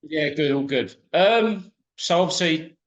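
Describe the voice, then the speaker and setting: A man's voice: on the high side, expressive, a little slow, reverberant, lo-fi sound. A man from the Thurrock area of England hosting an interview in a friendly, hesitant way with fillers. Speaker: interviewer, host. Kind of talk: casual interview.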